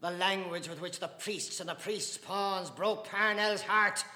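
A man's voice: high-pitched, fairly even intonation, measured pace, bright, forceful tone, voice pushed up, exaggerated voice. A man voicing a proud, hot-tempered middle-aged guest who declaims with heated emphasis, with an echoey room and a long reverb.

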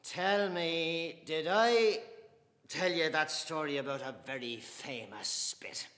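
A man's voice: on the high side, takes it slowly, a bit bright, voice pushed up. A man voicing a proud, hot-tempered middle-aged guest who declaims with heated emphasis, with an echoey room and a long reverb.

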